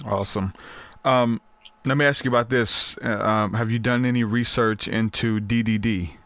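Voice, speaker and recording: A man hosts a podcast interview, talking casually and haltingly with plenty of fillers. His voice is low-pitched and smooth, and the dry recording has no room sound. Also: fairly even intonation, a bit bright, dry recording. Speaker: podcast host.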